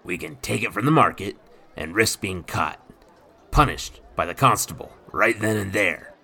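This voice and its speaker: A man doing a cocky gravelly rogue thief. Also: on the low side, expressive, normal speed, sharp tone, pitched above the performer's own voice, heavily acted. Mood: swaggering. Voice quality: gravelly, swaggering, ungrammatical dialect. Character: roguish scheming male thief, cocky, adult.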